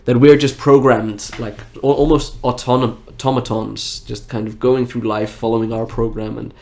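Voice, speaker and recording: A man's voice: medium pitch, level tone, normal speed, vocal fry, a little mellow. Nasal man with a stuffy nose. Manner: frank, reflective, rambling. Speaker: health vlogger. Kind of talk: candid personal monologue.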